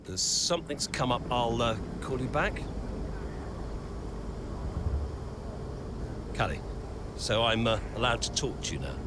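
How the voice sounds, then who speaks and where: Older man acted, controlling wealthy dad, stern, halting, lively pitch, very noisy outdoor backdrop. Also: lightly acted. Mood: guarded. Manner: measured, halting, patronising. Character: stern older businessman father, cold and controlling. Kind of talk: dramatic video game cutscene acting. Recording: loud vehicle and outdoor noise.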